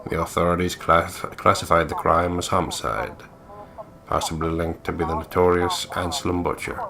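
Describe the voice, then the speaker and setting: A man's voice: low voice, flat delivery, moderate pace, creaky. A man co-hosting a let's play, reading game text aloud in a playful, theatrical way with sweary asides.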